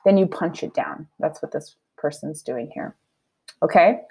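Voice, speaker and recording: A woman teacher giving a children's read-aloud lesson, explaining her thinking in an expressive, conversational way. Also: deep voice, unhurried, vocal fry, slightly rough, low-quality recording. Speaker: elementary teacher.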